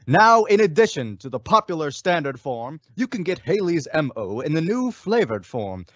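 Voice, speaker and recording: A man's voice: fairly high pitch, no room sound. A man reading a scripted old-time radio commercial as an announcer, with an emphatic sales delivery. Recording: dull lo-fi radio recording.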